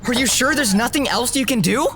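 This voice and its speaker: Guy as nervous college nerd, super high creaky voice, earnest, pleading. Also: fairly quick, fairly bright tone, slight background noise. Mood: pleading, defiant. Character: earnest, anxious young male college nerd. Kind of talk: audio drama acting.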